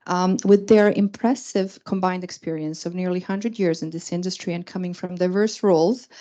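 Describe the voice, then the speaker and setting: A woman moderating a panel at a meet-up, with a mellow, lowish voice. She reads the introductions formally and puts her questions in a measured way. The sound is dull and lo-fi. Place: event venue.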